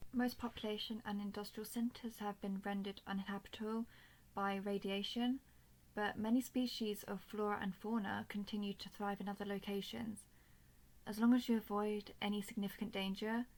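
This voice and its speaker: Woman acting as a stoic, creaky-voiced robot soldier. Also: mid-range voice, darkish tone, close to an everyday voice. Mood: matter-of-fact. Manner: formal, measured, emotionless delivery. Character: stoic, dutiful, protective female robot soldier. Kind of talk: scripted audio roleplay addressed to the listener.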